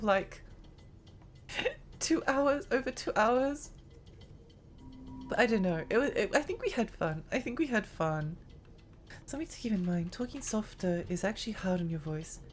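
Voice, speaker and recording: A woman's voice: fairly high pitch, lively intonation, moderate pace, slight background noise. Giggly woman streamer with a strained voice. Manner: chatty, giggly, rambling. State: strained, tiring voice. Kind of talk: live game stream chat.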